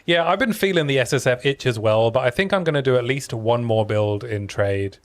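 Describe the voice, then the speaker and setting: A man's voice: mid-range voice, brisk. Soothing man streaming a game. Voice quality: soothing sleep-story voice. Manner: relaxed, chatty, answering chat between plays. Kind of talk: casual live-stream commentary while playing.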